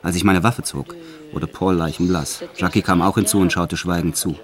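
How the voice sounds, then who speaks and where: Radio play lead: male actor as brutal career criminal confessing matter-of-factly, extremely low voice. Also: fairly even intonation, normal speed, natural voice. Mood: menacing, enraged. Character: violent male career criminal confessing his life, brutal yet tender. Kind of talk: first-person radio play narration.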